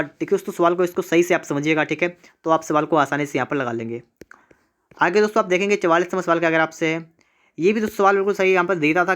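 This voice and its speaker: Guy doing a math tutorial, voice a bit on the high side, keeps asking stuff and answering it himself, repeats a lot. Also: a bit bright. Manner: repeats himself, asks and answers his own questions. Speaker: math teacher. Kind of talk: exam tutorial, step-by-step problem solving.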